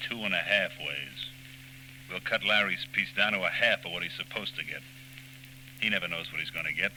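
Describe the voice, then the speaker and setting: A tough, hot-tempered gang boss in a 1940s radio crime play. His voice is fairly low and very hard-edged, blunt and menacing. A slight hum runs under the old recording. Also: brisk, sharp tone, poor audio.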